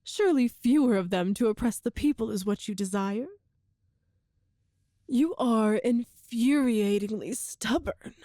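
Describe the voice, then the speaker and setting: A woman playing a cruel, seductive evil empress in an ASMR roleplay drama, speaking with imperious, deliberate menace. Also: on the high side, dark tone, no echo, clean studio sound, natural voice. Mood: cold command.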